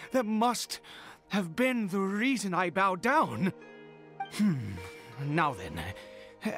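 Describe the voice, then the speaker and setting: A man's voice: on the high side, fairly bright tone, full character voice. A theatrical, wildly swooping fanatical father. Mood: brooding, amused. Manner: theatrical, halting, with pauses and emphatic swells. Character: fanatical, unhinged father devoted to a mysterious god. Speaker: voice actor. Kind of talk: dramatic game dialogue acting.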